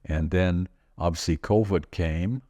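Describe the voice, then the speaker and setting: A man in his eighties with a Dutch accent, telling stories from his life in a halting, rambling way, full of fillers and restarts. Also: deep voice, warm tone, dry recording. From Northern Netherlands. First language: Dutch regional dialect. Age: 80s. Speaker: podcast host. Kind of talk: casual conversational storytelling.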